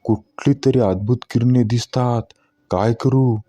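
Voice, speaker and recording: A man's voice: mid-range voice, fairly even intonation, a little slow, dark tone, natural voice. A man playing a husband in a cartoon comedy skit. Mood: puzzled.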